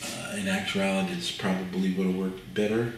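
An older man, giving a relaxed painting demonstration at his easel and thinking aloud with fillers and restarts. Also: sits low, moderate pace. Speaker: painting instructor. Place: art studio at an easel, indoors. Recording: very echoey room, mic far off, audible noise.

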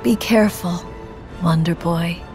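A woman playing a wry, teasing young woman, sardonic in manner, in an animated game cutscene. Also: fairly low pitch, a little slow, soft background music. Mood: fondly teasing.

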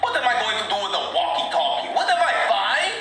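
Guy doing a super annoyed chef, high sharp voice, sarcastic yelling, puppet skit, really echoey. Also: big pitch swings, quick pace, over music. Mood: irritated. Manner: loud, sarcastic, exasperated outbursts. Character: irritable, exasperated male chef, quick to shout. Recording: very echoey room, screen-recorded video playback.

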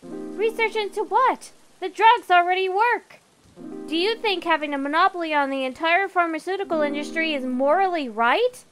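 An insistent reporter, played by a woman, high-voiced and indignant. She presses her challenge hard, in the manner of an animated comic. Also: normal speed, faint music behind. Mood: insistent, challenging.

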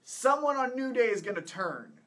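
A man's voice: very high-pitched, very animated, moderate pace, a bit bright. A man in his thirties co-hosting a podcast, chatting casually and making predictions. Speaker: podcast co-host. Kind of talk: casual wrestling predictions chat. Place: small indoor studio with acoustic foam panels.

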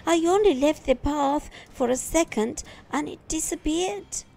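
A woman voicing an excitable, trusting young storybook boy who exclaims eagerly. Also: high voice, measured pace, gravelly, pitched above the performer's own voice. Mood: upset. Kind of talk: storybook character voice.

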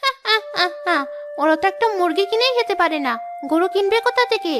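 A woman's voice: extremely high pitch, animated, brisk, music in the background. A woman voicing a young village girl in a cartoon, childlike, outspoken and insistent. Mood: boastful, haughty. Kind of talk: cartoon voice acting.

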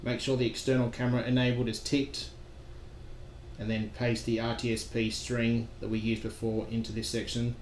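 A man with a mid-pitched, fairly monotone voice walks through setup steps as a trainer. His delivery is plain and instructional. The recording is echoey, like an untreated room. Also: normal speed. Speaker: software trainer. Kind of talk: instructional screen-recording narration. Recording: roomy echo with background noise.

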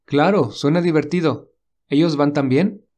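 A man voicing a caring young male lead in a read-aloud romance, speaking softly with light teasing. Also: fairly high pitch, measured pace, close to an everyday voice. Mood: gentle.

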